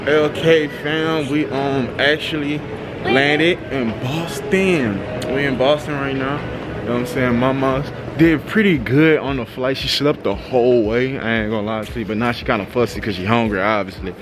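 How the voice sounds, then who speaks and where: A young man in his twenties chatting casually to viewers in an easy, slang-filled way. His voice sits at an ordinary male pitch. Loud travel noise runs under his voice. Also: moderate pace. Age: 20s. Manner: laid-back slangy talk to viewers. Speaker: vlog co-host. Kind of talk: casual vlog chat. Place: plane cabin and airport.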